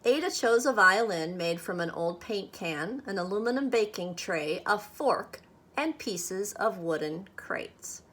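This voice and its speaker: A woman reading a children's picture book aloud expressively, with measured, dramatic phrasing meant for young listeners. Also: medium pitch, expressive, fairly bright tone. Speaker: storybook reader.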